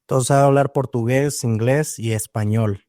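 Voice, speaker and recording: Mellow-voiced man hosting an interview. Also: medium pitch, fairly even intonation, normal speed, a little hiss or hum. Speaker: podcast host, interviewer. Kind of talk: interview questions.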